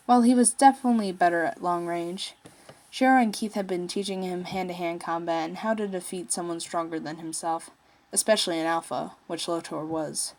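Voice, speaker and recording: A woman's voice: fairly low pitch, steady tone, brisk, darkish tone. Woman reading a story aloud. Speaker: story narrator, fan fiction writer.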